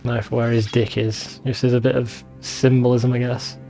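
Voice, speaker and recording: A man's voice: mid-range voice, flat delivery, normal speed, gravelly, dark tone. A man giving informal, sweary gaming commentary as a let's play host. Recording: faint game music behind voice. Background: game soundtrack.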